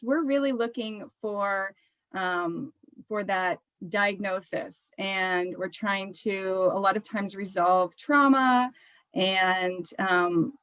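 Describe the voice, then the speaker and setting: A woman therapist speaks at a middling pitch in a thoughtful, hesitant way, with many ums. The sound is dry and close. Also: a bit bright, no echo. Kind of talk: casual conversation.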